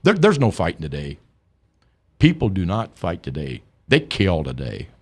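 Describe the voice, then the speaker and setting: An older man, a guest on a radio talk show, telling stories in an animated way and repeating words as he gets going. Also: low-pitched, normal speed, husky. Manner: animated storyteller, repeats words when excited. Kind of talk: radio interview.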